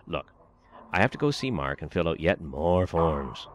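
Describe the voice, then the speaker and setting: A man playing a gentle, coaxing old husband. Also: sits low, very animated, normal speed, a little hiss or hum. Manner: coaxing, reassuring.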